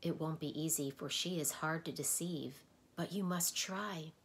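A woman's voice: low-pitched, unhurried, a little hiss or hum. A woman voicing a sad, earnest townsman in a storybook who pleads for help, speaking in a mournful, urgent tone. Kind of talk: storybook read-aloud.

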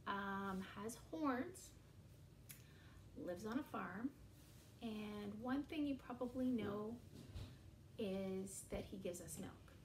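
Slow, sing-song woman leading a children's rhyme. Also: mid-range voice, animated, echoey room, a little hiss or hum, poor audio. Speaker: early years educator. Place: small indoor room against a bare wall.

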